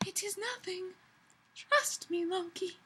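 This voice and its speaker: A woman voicing a calm, reassuring female elf in a measured, soothing manner for a fan fiction reading. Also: very high-pitched, voice pushed up, full character voice. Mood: calm reassurance.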